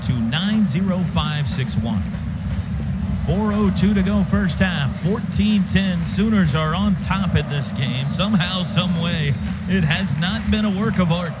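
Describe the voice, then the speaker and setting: Male football play-by-play caller, high register, punchy and clipped, over loud stadium din. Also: animated, measured pace. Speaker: play-by-play radio announcer. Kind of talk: live football play-by-play commentary. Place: stadium radio booth. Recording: dull radio broadcast, loud stadium noise. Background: loud stadium ambience.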